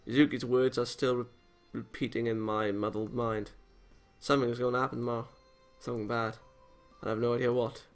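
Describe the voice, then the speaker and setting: A man's voice: medium pitch, flat delivery, fairly quick. A man hosting a let's play, reading a visual novel aloud with casual comments and often stumbling over lines. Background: game music.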